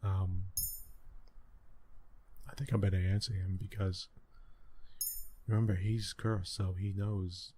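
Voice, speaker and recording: A man with a low, mellow voice reads a visual novel aloud as soft ASMR. His delivery is unhurried and halting, dropping into casual asides. Faint ambient noise and clicking sit behind him, as from a room with open windows. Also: level tone. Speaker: ASMR let's play host and reader.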